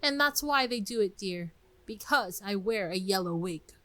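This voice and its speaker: A woman voicing an old gray male wasp in a yellow wig, querulous and blunt, with rustic, uneducated turns of speech in a dramatic storybook reading. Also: mid-range voice, animated, normal speed, no echo, close to an everyday voice. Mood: plaintive, reciting.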